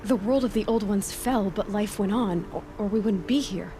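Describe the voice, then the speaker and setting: A curious, determined young hunter, played by a woman, in a video game, talking quietly to herself. Her mid-pitched voice is soft, and clear hiss runs behind it. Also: moderate pace, close to an everyday voice. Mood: hushed. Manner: quiet, musing, half-whispered. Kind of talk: game cutscene acting.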